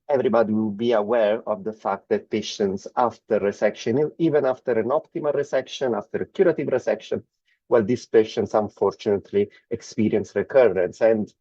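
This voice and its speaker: A man's voice: on the low side, dark tone, dry recording. A man with an Italian accent, a medical oncologist lecturing over a video call, hesitant with frequent fillers and restarts. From Italy. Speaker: medical oncologist presenting a webinar. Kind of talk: academic webinar lecture. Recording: dull lo-fi call audio.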